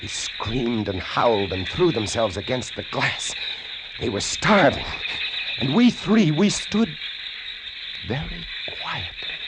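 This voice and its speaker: A man's voice: mid-range voice, expressive, slow pace, heavy background noise. A man acting as a haunted lighthouse keeper, narrating a vintage radio horror tale in the first person, savoring its gruesome details. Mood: horrified, hushed. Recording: vintage radio drama with music behind.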